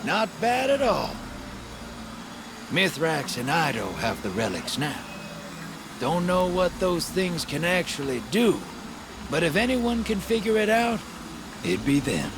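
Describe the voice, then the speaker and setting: A man playing a wry, streetwise alien crime boss with a soft heart in a video game, talking in a casual wiseguy drawl. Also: fairly high pitch, very animated, unhurried, long pauses. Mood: approving, relaxed. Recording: clear background noise.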